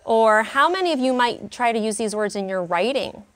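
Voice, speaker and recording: A woman in her forties teaching a class of young children, speaking slowly and expressively in an elementary classroom. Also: fairly high pitch, lively intonation, fairly bright tone. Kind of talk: classroom read-aloud and teaching.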